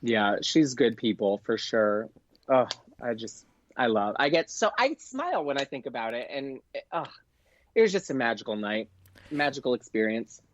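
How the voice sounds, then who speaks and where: A man's voice: medium pitch, big pitch swings, moderate pace. A man, a comedian, chatting casually as a podcast guest in a gushing, effusive way, over a dull lo-fi connection. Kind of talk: casual interview chat.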